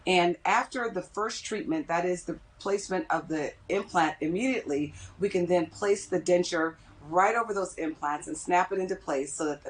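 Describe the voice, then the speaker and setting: A middle-aged woman with a slightly low voice gives measured explanations as an expert guest. She sounds like a dentist being interviewed on television, laying out information step by step. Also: poor audio.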